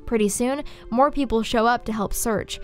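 A woman video essayist reading scripted story analysis, dramatically, with deliberate pauses. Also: on the high side, faint music behind.

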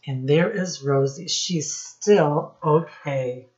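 A middle-aged woman reads a picture book to little children at a very slow pace, in a fairly low voice. She stretches key words and puts questions to her young listeners like a teacher. The sound is a bit dull, from a webcam in a small room. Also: darkish tone. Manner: instructive, prompting listeners with questions, drawn-out emphasis on key words. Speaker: children's storytime teacher and reader.

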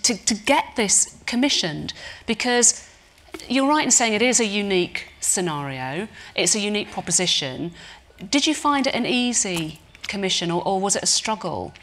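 A woman hosting a live festival panel on stage, lively and teasing, with a handheld mic. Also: mid-range voice. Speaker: panel host. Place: stage before a large live audience.

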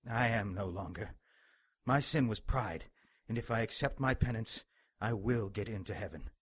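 A man's voice: on the low side, moderate pace, breathy edge. A man playing a dying, bitter, pious monk in a radio play, stern and fatalistic. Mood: weary, pleading. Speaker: radio drama actor. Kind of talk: dramatic radio play storytelling.

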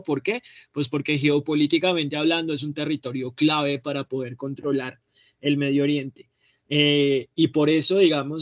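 A man in his thirties lectures over a video call, his voice a little higher than most men's. He explains step by step and poses rhetorical questions to the audience. The webcam audio is dry and dull. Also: dry recording. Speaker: panel speaker. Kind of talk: academic talk.

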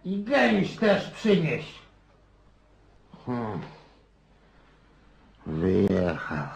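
An actor playing a garrulous, restless old Russian country landowner in a radio drama, rambling in a folksy way. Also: male voice, medium pitch, gravelly, full character voice. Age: older. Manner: rambling, folksy, muses aloud.

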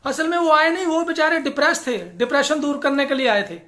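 A man in his thirties doing a stand-up comedy monologue to camera in a small room, animated and switching into voices. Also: extremely high pitch. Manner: animated, gesturing, quick shifts into character voices.

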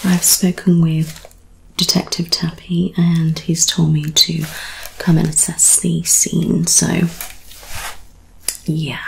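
A woman playing a composed British crime scene officer in an ASMR roleplay. Her voice is lowish and creaky, often dropping to a whisper, and she takes her time in a methodical way. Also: flat delivery, slight background noise, heavily acted, rough recording. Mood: soft, composed. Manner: soft, measured, partly whispered. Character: brisk, methodical female crime scene investigator.

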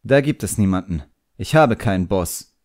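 A man's voice: lowish voice, expressive, moderate pace, darkish tone, close to an everyday voice. A man voicing a proud, sullen young boy apprentice magician in an audiobook, defiant and muttering.

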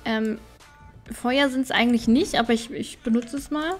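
Higher-voiced woman gaming. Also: normal speed, soft background music. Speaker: let's play host. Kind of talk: casual game commentary, reading game dialogue aloud.

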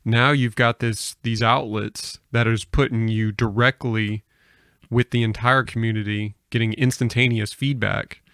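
Guy podcast host, flat lowish voice, measured questions, dry sound. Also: clean tone, no room sound. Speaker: podcast interviewer. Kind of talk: casual interview questioning.

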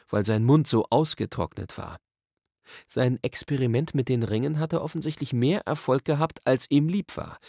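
A male audiobook narrator tells a story in a mid-pitched voice with noticeable gravel. The sound is dry and close, in a scripted storybook style. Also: darkish tone, no echo.

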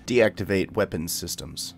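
A man's voice: deep voice, lively intonation, normal speed, vocal fry, soft background music. A man hosting a game tutorial, commenting and speaking voice commands to the game. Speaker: gaming video host and player.

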